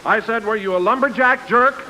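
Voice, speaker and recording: A man's voice: extremely high pitch, brisk. A man working as a 1940s radio announcer and comic sidekick, with a hearty, grandiose delivery. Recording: hissy vintage radio recording.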